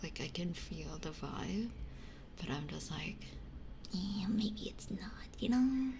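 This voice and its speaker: A woman's voice: on the low side, lively intonation, takes it slowly, background noise. A woman streamer chatting casually in an ASMR style, blunt and giggly, close to a binaural microphone. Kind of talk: whispered ASMR casual chat.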